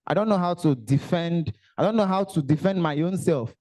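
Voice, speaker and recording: A young man with a Nigerian accent giving an animated motivational talk at a career summit, dropping Pidgin asides and bantering with the audience. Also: a little high, moderate pace. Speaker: motivational speaker. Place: event hall.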